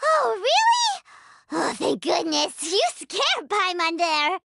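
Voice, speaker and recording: A squeaky chirpy fairy sidekick. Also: female voice, big pitch swings, slow, gravelly, sharp tone, full character voice. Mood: relieved. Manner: chirpy, talks about herself by name. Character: small excitable fairy companion girl. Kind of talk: video game voice acting. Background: game music.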